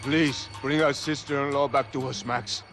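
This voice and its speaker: A man's voice: mid-range voice, fairly even intonation, moderate pace, fairly bright tone, soft background music, lightly acted. An actor playing a distraught, wealthy Brazilian man in a game, speaking with a Brazilian accent in a courteous, pleading way. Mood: grieving, pleading.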